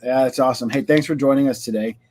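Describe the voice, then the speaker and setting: A man hosts a live-streamed interview from home in a mid-pitched, ordinary voice. He talks in a chatty, upbeat way, with the odd "um" as he sets up his questions. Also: fairly even intonation, fast talker, crowd noise. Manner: upbeat, chatty, some fillers. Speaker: host. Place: home, lawn crew outside.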